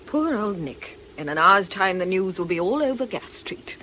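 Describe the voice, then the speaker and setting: A woman's voice: mid-range voice, big pitch swings, normal speed, pushed and bright, slight background noise, poor audio. Bitter, taunting witch, swooping delivery. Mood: mocking amusement. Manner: theatrical, mocking, dramatic. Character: bitter, taunting middle-aged woman, a defiant witch. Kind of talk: radio drama acting.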